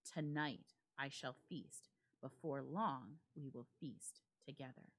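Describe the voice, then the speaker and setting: Woman playing a slow, ghoulish undead woman. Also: extremely low pitch, pitched below the performer's own voice, heavily acted. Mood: gloating menace. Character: ghoulish undead woman, hungry, gloating, patient.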